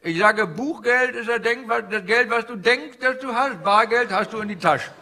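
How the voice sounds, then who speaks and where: High, bright-voiced Indian finance guru. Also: male voice, moderate pace, heavily acted. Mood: mock-earnest explaining. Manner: ingratiating, theatrical, repeats phrases. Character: cheery Indian finance guru, flattering salesman comic character. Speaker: stand-up comedian. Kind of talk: character stand-up with audience banter. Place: indoor live venue before an audience. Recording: live stage with noisy audience. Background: audience laughter and crowd ambience.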